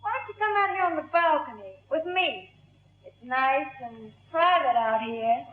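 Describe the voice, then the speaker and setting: An actress playing a cold, scheming, seductive killer in the manner of 1940s radio crime drama. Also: female voice, high-pitched, animated, moderate pace. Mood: coaxing. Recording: tinny old radio broadcast, music behind.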